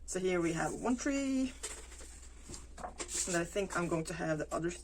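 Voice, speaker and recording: A woman, talking through her crafting casually, thinking aloud with pauses and fillers. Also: fairly low pitch, expressive, slight background noise. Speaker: planner vlogger. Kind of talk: casual plan-with-me narration while crafting.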